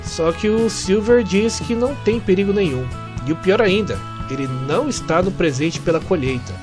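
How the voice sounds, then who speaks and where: Animated, higher-pitched Brazilian man narrating stories. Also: normal speed, over music. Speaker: narrator and fan translator of comic stories. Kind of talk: conversational comic-story recap narration.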